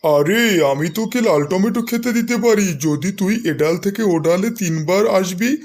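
A man's voice: high voice, normal speed, darkish tone, close to an everyday voice. A man voicing a lazy, rude crow in a children's cartoon, boastful and grumbling. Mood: bossy, threatening. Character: lazy, rude crow who loves singing and sleeping.

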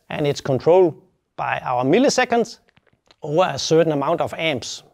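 A man's voice: on the high side, darkish tone. A man in his fifties giving a plain, step-by-step instructional presentation as a technical specialist, recorded on a lapel mic. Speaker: welding specialist presenting a product tutorial.

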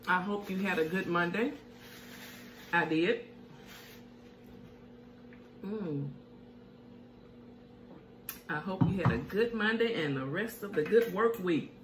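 A woman's voice: fairly low pitch, slow pace, long pauses. Folksy woman eating on camera. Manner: chatty, folksy, hums over food. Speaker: mukbang cooking show host. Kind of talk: casual chat while eating. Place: home kitchen, indoors. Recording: echoey room with faint hiss.

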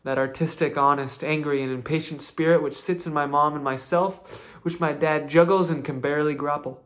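A man in his twenties delivers an improvised spoken-word monologue, intense and searching, his fairly high voice rising into emphatic bursts. He sits close to a laptop mic in a small bedroom. An acoustic guitar strums underneath. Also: fairly bright tone. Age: 20s.

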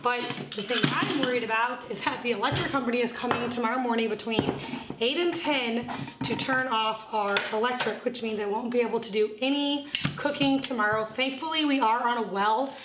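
A chatty woman in her thirties walks through her cooking plans, her voice a little high. She talks from across an echoey home kitchen, and pots and pans clatter loudly behind her. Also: fairly quick, heavy background noise. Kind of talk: casual talk to camera while cooking. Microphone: camera on a tripod across the kitchen.

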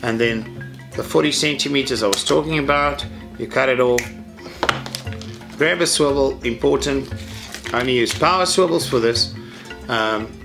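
Middle-aged guy, gravelly voice, walks you through a fishing trace, faint guitar behind. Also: medium pitch. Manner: practical, matter-of-fact, explains step by step. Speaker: fishing instructor. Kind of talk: hands-on tutorial. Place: indoor tackle room with shelves of lures.

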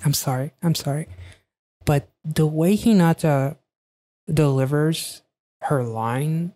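A man in his thirties giving casual reaction commentary, thinking aloud in a halting, emphatic way, close to a studio microphone in a small room. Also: fairly high pitch, dark tone. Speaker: reaction video host. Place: small indoor home studio. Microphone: close studio microphone on a stand.

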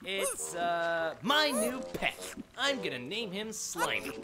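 Excitable high-voiced cartoon adventurer. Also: male voice, takes it slowly, heavily acted. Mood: awkward. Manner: excitable, melodramatic. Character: eager, whiny, naive male adventurer hero. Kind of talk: animated comedy voice acting. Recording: loud music behind.

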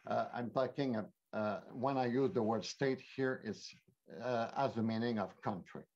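An older man from Quebec City with a Quebec French accent, giving a measured, formal lecture over a headset on a video call. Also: mid-range voice, a little mellow. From Quebec City, Canada. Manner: measured, explanatory, with frequent fillers. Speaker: panelist, former minister. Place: home office. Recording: muffled video call audio.

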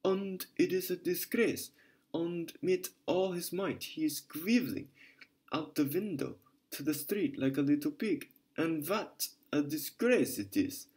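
A man reading aloud voicing a flustered, fawning German landlady who complains in a rapid patter with broken grammar and a strong German accent. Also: fairly high pitch, a little mellow, pitched above the performer's own voice, lightly acted. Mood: flustered indignant.

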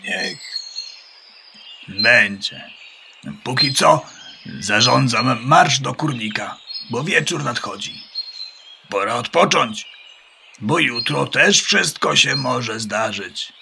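A man's voice: mid-range voice, animated, slow, vocal fry, long pauses, background noise. A man playing an earnest, pompous farmyard rooster who declaims in a children's radio play. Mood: resigned. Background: birdsong.

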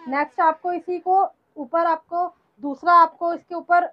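High-voiced woman demonstrating shop products. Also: fairly even intonation, a little slow, highs cut off, slight background noise. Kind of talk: product demonstration, answering a host. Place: small cluttered shop, indoors.

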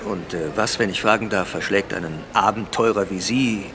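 An actor playing a polite, gently teasing Victorian gentleman husband in a German radio play. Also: lowish voice, normal speed, creaky, noisy background. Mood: polite, wry. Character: well-mannered English-speaking honeymooning husband, gentleman, gently teasing.

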